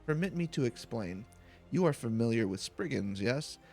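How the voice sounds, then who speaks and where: A man's voice: medium pitch, animated, normal speed, darkish tone, soft background music. A man voicing a polite, long-winded academic researcher from a fantasy game, formal and pedantic. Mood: formal, explanatory.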